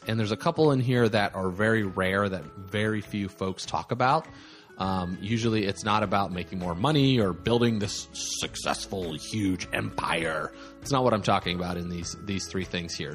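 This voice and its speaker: Tired, rambling male podcast host. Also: lowish voice. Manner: rambling, self-deprecating, frequent "like" fillers. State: tired from lack of sleep. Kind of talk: casual solo podcast monologue.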